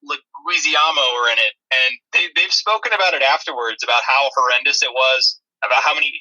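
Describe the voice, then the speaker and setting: A man's voice: medium pitch, a little fast, bright, forceful tone, poor audio. A man co-hosting a podcast, chatting casually about films with wry, dry-humoured asides. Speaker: podcast co-host. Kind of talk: casual movie review chat.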